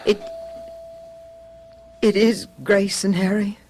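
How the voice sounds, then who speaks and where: An actress playing an anxious, tearful wife in a radio mystery drama, faltering and emotional. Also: female voice, mid-range voice, level tone, slow pace, a little mellow. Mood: anxious, near tears.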